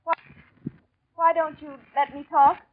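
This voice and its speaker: High-voiced, seductive society lady. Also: level tone, unhurried. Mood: insistent. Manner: coaxing, intimate, dramatic. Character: seductive, insistent society woman pursuing a married painter. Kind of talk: 1940s radio acting. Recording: dull, noisy 1940s radio recording.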